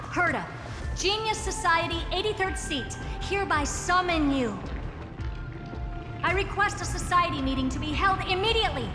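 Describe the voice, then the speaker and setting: High pitched bossy genius girl for a game, grand proclamations, echoey with music. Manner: declamatory, formal proclamation. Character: imperious young genius woman, grand and commanding. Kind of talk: video game voice acting. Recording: echoey hall reverb over music.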